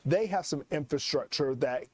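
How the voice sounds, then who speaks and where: A man working as a TV weather forecaster, giving unscripted live commentary in a halting, explanatory way. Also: fairly high pitch.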